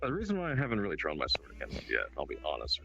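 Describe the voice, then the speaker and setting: Guy doing a diplomatic fantasy adventurer for online rp, creaky voice that jumps all over, halting n wry, bg music. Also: very deep voice, huge pitch swings, muffled recording, background noise, heavily acted. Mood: hesitant, candid. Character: hesitant, diplomatic male adventurer, the party's spokesman. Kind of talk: improvised online fantasy roleplay. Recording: background music under the voice.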